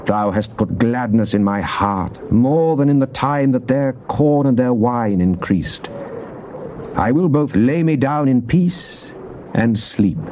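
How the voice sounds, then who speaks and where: An actor playing a devout psalmist in a dramatized scripture reading, with a British accent and solemn, declamatory, measured phrasing. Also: male voice, mid-range voice, expressive, mellow. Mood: solemn, trusting. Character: devout psalmist pleading and praising God. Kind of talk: dramatized scripture reading, classical stage delivery. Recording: dull, noisy old cassette tape.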